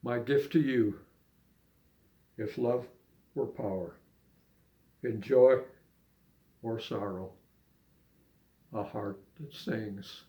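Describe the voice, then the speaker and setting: An older man with plenty of gravel and fry in his voice. He takes his time, speaking slowly and haltingly, like an amateur poet sharing his own work and memories. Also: lowish voice, mellow. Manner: halting, unhurried delivery. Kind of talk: poetry reading and casual reminiscing.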